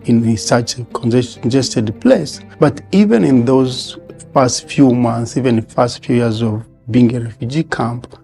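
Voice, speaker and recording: A man who grew up as a refugee, telling his story in an interview, halting with many fillers and pauses. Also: mid-range voice, gravelly, dark tone, faint music behind. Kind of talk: interview testimony.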